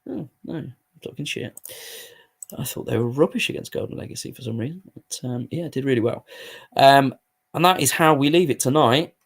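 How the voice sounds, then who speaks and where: A hoarse man giving casual, sweary commentary while playing a football management game. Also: medium pitch, fairly quick. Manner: swears freely, muttering asides while playing. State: hoarse, voice going. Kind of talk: casual live gaming commentary.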